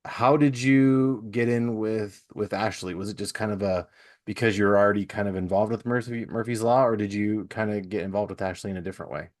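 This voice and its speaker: A man's voice: on the low side, fairly even intonation, brisk. A middle-aged man hosting a podcast in a casual, rambling, self-deprecating and slangy way. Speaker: podcast host. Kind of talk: casual chat.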